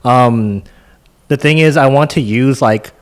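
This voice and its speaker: A man's voice: medium pitch, measured pace, smooth. A man with a mid-range voice chats on a podcast as a DJ. His talk is casual and slangy, with the odd mild swear word. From California, United States. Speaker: DJ and podcast participant.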